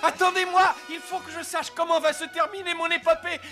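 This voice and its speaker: An actor voicing an excitable, self-important Smurf poet in a French animated cartoon. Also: very high voice, fairly quick. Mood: eager. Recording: loud music bed behind.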